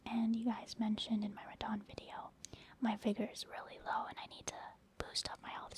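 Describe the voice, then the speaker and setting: Female ASMR gaming host: breathy whisper, unhurried pace, gentle and even in tone. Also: on the high side. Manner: gentle, unhurried, softly explaining. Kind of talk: soft-spoken whispered ASMR game commentary.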